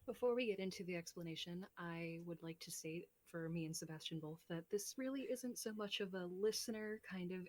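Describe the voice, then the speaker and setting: A woman's voice: fairly low pitch, darkish tone, no room sound. A woman co-presenting, giving a scripted explanation to open a roleplay video. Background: music.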